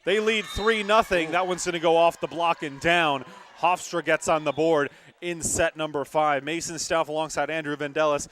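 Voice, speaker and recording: A man's voice: a little high, fairly even intonation, moderate pace, a bit bright. A young man doing live volleyball play-by-play, emphatic and conversational with his broadcast partner. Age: young adult. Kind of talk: live sports commentary.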